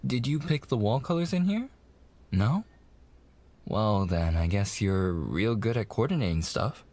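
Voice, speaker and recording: An actor playing a mafia boss's young son, an eager, sweet fiancé coaxing in slangy gangster talk in an intimate roleplay. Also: male voice, mid-range voice, a little mellow. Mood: casual, friendly. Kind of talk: boyfriend ASMR roleplay, intimate monologue.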